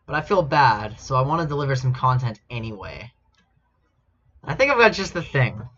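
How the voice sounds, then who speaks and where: A man's voice: medium pitch, very animated, moderate pace, sharp tone. A man streaming casually and reading fan fiction aloud in a mock-dramatic, amused and incredulous way. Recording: dull lo-fi mic with music behind. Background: background music.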